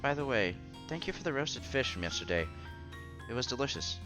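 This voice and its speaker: A man's voice: mid-range voice, expressive, a little fast, pushed and bright. A man playing an earnest, bashful young college student who narrates his own romance in a visual novel. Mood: nervous, sincere. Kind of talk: visual novel read-aloud. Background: music under the voice.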